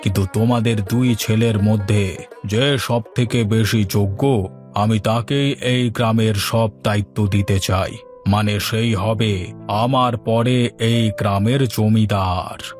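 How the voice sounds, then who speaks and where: A man's voice: on the low side, steady tone, slow, a little mellow, faint music behind. A man voicing a stately old landlord father in a cartoon, speaking formally and with command. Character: stately old father, landlord testing his two sons. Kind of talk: Bengali cartoon dubbing.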